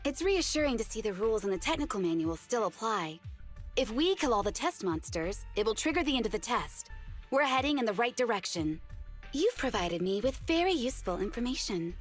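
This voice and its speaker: An actress voicing a calm, analytical female deputy chief in a game cutscene, speaking in a measured way. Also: a little high. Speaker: deputy chief and intelligence officer. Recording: clear game music behind.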